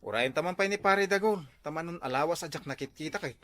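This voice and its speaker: A man playing a flustered, evasive husband with an Ilocano accent, halting and exclaiming in a village comedy. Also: a little high, a bit bright, no room sound, natural voice. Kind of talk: comedy drama acting.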